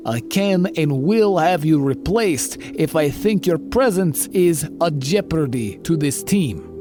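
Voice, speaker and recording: A man performs a stern super-soldier commander who speaks with slow, chilling calm and a somewhat high, swinging voice. Faint music sits behind him in this audio drama. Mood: menacing. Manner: cold, slow, threatening.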